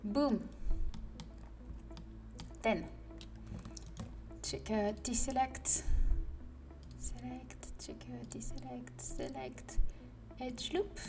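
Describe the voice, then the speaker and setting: Slow-talking French-accented woman streamer, murmurs while working, recorded from afar over music. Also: a little high, sing-song. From France. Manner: muttering to herself, often dropping to a whisper. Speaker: livestreamer. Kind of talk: casual livestream while working. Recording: distant mic with music behind.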